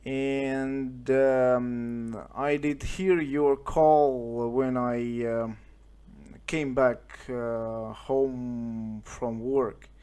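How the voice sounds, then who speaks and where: A man with a Russian accent chatting casually as an amateur radio operator, hesitant and halting as he searches for words. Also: mid-range voice, fairly even intonation, warm tone, clear, resonant tone. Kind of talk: casual radio contact chat. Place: at home, indoors.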